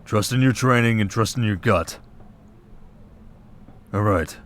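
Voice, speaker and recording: A stern hunter commander, played by a man in an audio roleplay. He speaks in a low register with clipped authority, like a superior briefing a young recruit. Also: measured pace, a little hiss or hum, natural voice. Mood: stern, businesslike. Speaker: voice actor. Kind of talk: scripted ASMR roleplay.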